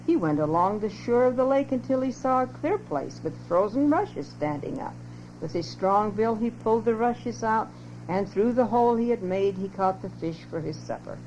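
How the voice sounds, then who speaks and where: A woman's voice: mid-range voice, expressive, moderate pace. An older woman expressively reading a children's story aloud as a narrator. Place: home tape recording. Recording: lo-fi muffled home cassette, loud hum.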